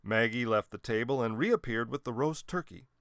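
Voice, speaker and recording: A man narrating a children's storybook in an expressive way with dramatic emphasis. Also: mid-range voice, moderate pace, dry recording, studio quality. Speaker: storybook reader.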